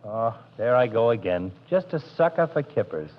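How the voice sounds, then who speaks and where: A man voicing a soft-hearted working-class barber who rambles on in plain, ungrammatical talk. The sound is a muffled old radio broadcast. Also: medium pitch, very animated, moderate pace, lightly acted. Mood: folksy. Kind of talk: 1940s radio comedy acting.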